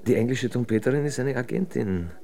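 An actor playing a pedantic, ironic criminal investigator in a German radio drama, lecturing with smug pomposity. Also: male voice, medium pitch, brisk, gravelly, dark tone, natural voice. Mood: smug, explaining. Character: wry, pedantic male criminal investigator, smugly theorizing.

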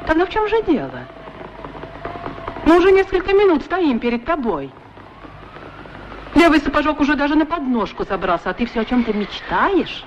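Pert chatty lady's boots, very lively pitch. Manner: pert, demanding, teasing, questioning. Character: pair of talking lady's boots, female, chatty, haughty, curious. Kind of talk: 1960s radio play acting. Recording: muffled old radio recording, loud background noise.

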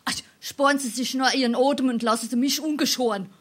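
A saucy, high-voiced Hessian waitress. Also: female voice, fairly even intonation, fairly quick, fairly bright tone. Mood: scornful. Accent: South Hessian, Darmstadt dialect. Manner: snappy, scornful. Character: saucy, sharp-tongued tavern waitress, unimpressed. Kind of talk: dialect stage comedy acting.

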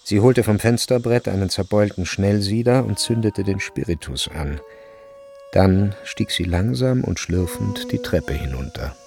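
A man narrating a literary radio drama from a script, with measured and deliberate phrasing. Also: on the low side, vocal fry, a little mellow, soft background music.

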